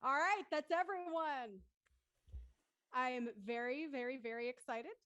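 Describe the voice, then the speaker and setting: Upbeat woman host, pretty high voice, chatty and casual, no room echo. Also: a little slow, sharp tone, smooth. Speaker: host of a live audio drama show.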